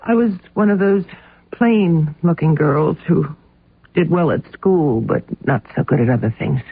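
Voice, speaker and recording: A woman's voice: sits low, measured pace, husky, no echo, exaggerated voice. An actress playing an evasive, defensive housewife under questioning in a radio drama, hesitant and guarded in her delivery. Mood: confiding. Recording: muffled narrow-band old radio sound.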